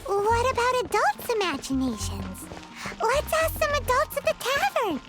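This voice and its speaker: A tiny excitable fairy companion. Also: female voice, extremely high pitch, normal speed, over music, exaggerated voice, rough recording. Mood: thoughtful. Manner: refers to herself in the third person; excitable, easily alarmed. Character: tiny floating chatty female companion creature. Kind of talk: video game voice acting.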